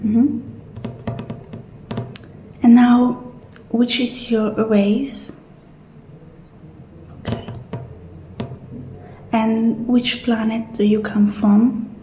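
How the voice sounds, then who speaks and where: A woman playing a calm, polite futuristic clinic receptionist in a soft-spoken, gentle, unhurried ASMR roleplay. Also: fairly high pitch, reverberant, faint music behind, low-quality recording. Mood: calm, procedural. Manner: gentle, unhurried, reassuring customer-service talk.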